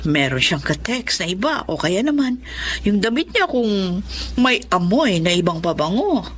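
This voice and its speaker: An actress playing a nosy, suspicious close friend, insistent and gossipy, in a radio drama. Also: female voice, mid-range voice, big pitch swings, measured pace, a bit bright, a little hiss or hum. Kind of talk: Tagalog radio drama acting.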